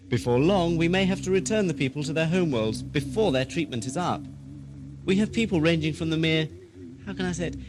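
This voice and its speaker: A man's voice: a little high, lightly acted. Man acting unctuous, swooping asylum keeper. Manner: florid, obsequious, theatrical. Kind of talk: radio drama acting.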